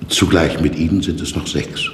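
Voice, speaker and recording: An actor playing a polite, curious and dryly sardonic novice jailer, matter-of-fact, in 1960s German radio drama style. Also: male voice, a bass voice, moderate pace. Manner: courteous, dry, matter-of-fact. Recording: echoey, reverberant, noisy old radio recording.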